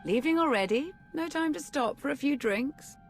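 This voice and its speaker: An actress playing an arch, teasing, sardonic shipmate in a video game. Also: female voice, high-pitched, expressive, moderate pace, faint music behind.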